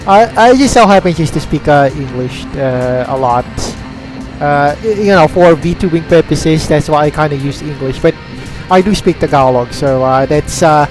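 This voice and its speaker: A man's voice: fairly high pitch, moderate pace, low-quality recording. A man streaming a mech game live, talking hesitantly with many fillers and restarts. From Philippines. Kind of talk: casual live gameplay commentary. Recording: stream with loud game music. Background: game music.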